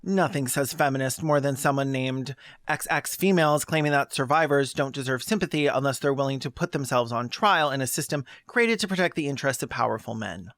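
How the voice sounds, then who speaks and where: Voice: mid-range voice, fairly quick, a bit bright, dry recording, studio quality. A video essayist delivering scathing, sarcastic commentary in a blunt way, moving between scripted narration and asides.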